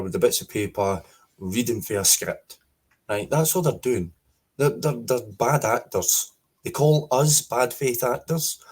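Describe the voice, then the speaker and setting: A Scottish man speaking forcefully as a guest in a political interview, repeating his points with emphasis. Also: on the low side, breathy edge. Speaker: podcast guest.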